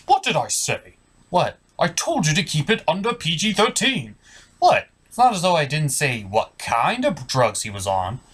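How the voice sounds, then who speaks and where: A man's voice: a little high, wildly swooping pitch, normal speed, fairly bright tone, breathy edge, full character voice. A man voicing a puppet butler character in scripted comic banter, formal, exasperated and full of questions. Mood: bickering. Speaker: sidekick in puppet review show.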